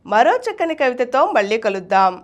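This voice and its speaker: A woman hosting and reading poetry in an expressive, scripted style with a measured, declamatory delivery. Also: on the high side, dull audio, very noisy. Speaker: host and poetry reader.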